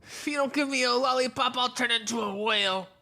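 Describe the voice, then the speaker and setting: A woman voicing a stubborn, excitable little child in an audio drama, insistent and childlike. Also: a little high, a little fast. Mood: demanding. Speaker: actor.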